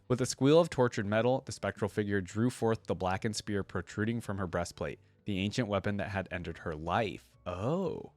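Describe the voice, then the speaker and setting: Male reaction host, lower register, dry close sound; informal remarks and narrative read-aloud. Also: no room sound. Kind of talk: casual reaction commentary and story read-aloud.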